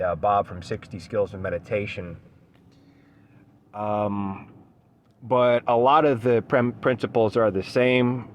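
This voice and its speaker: A man giving an unscripted solo talk for his video channel, measured and thoughtful, with frequent pauses, ums and restarts. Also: lowish voice, slight background noise. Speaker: esoteric channel host.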